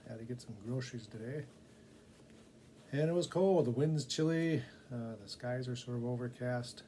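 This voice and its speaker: A man reads and chats as a volunteer storyteller. His voice is mellow and mid-pitched, the manner conversational and unpolished, and there is clear background noise. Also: normal speed. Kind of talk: casual chat and storybook narration.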